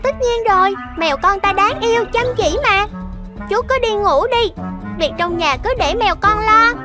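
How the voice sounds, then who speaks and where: A woman's voice: very high-pitched, expressive, moderate pace. A woman voicing a vain, gushing, eager young kitten in a children's cartoon. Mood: proud, eager. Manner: gushing, self-admiring. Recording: music behind the voice.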